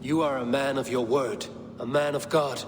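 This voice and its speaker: A male actor plays a proud Viking raider in a video game, blunt and grave. His voice sits at a medium pitch, with long reverb and music underneath. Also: fairly even intonation, normal speed, natural voice. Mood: wary, challenging. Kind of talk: video game cutscene acting.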